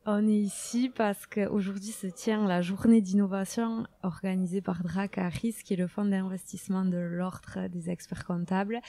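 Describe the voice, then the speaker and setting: A woman from southwest France with a southwestern French accent, a podcast guest answering casually with many pauses and fillers. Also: medium pitch, mellow. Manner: hesitant, many fillers, laughs easily.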